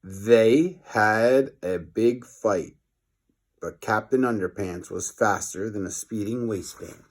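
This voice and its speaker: A man's voice: fairly low pitch, slow. A man narrating a children's story expressively, like a teacher reading aloud to pupils.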